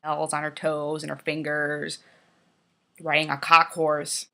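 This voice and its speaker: A woman's voice: sits low, monotone, measured pace, vocal fry, bright, forceful tone. A woman with a head cold, sniffling as she mocks a children's book in a sarcastic, profane casual commentary. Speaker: YouTuber.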